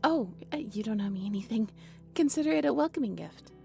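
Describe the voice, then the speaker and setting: A woman playing a polite, shy owl shopkeeper in a romantic roleplay audio drama, speaking gently and hesitantly. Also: on the high side, lively intonation, fairly quick, vocal fry, soft background music. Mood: shy, warm.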